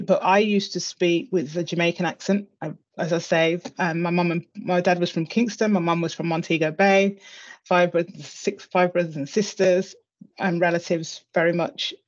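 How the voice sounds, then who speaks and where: A middle-aged woman with a British accent speaking reflectively and in a measured way as an interview guest on a video call. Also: medium pitch. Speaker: interview guest, senior executive. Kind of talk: reflective personal conversation. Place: indoor room on a video call. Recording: slightly dull video call audio.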